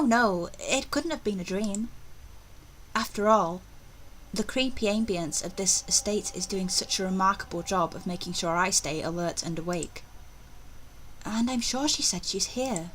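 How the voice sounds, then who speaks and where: Woman doing a nervous superstitious estate agent girl, visual novel monologue, dramatic, bright, bit of noise. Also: medium pitch, measured pace, long pauses, close to an everyday voice, low-quality recording. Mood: puzzled, worried. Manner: dramatic, uneasy inner monologue. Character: anxious superstitious young woman estate agent in haunted mansion. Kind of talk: visual novel voice-over reading.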